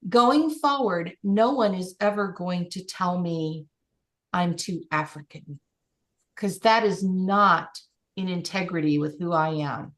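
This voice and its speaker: A woman's voice: lowish voice, slow. A woman coach leading a group call, speaking in an encouraging, emphatic way.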